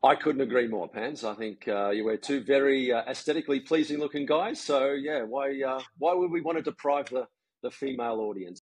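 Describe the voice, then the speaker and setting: A middle-aged man with an Australian accent talks in an easygoing, meandering way, full of fillers and restarts. His voice has a slightly husky edge. The sound is dry and close, with no room echo. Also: medium pitch, a little fast. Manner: relaxed, rambling with many fillers. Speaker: golf coach, guest. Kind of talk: casual interview chat. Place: home, residence in Jakarta.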